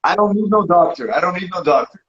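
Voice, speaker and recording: A Brazilian man hosting a casual live chat, rambling and self-mocking, laughing often, a little hoarse. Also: high-pitched, lively intonation, a little fast, a bit bright. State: slightly hoarse. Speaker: podcast host. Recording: dull lo-fi sound.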